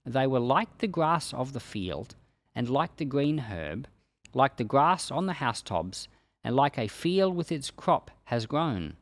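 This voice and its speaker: A man reading scripture aloud in a solemn, declamatory way, with deliberate emphasis on key phrases. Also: mid-range voice, big pitch swings. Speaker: pastor reading a Bible chapter aloud for a podcast.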